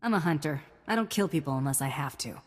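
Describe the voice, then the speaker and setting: Low, husky bounty hunter heroine. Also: female voice, animated, fast, big-room sound. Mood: serious. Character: female alien bounty hunter, cocky, principled, dry-witted. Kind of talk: video game voice acting.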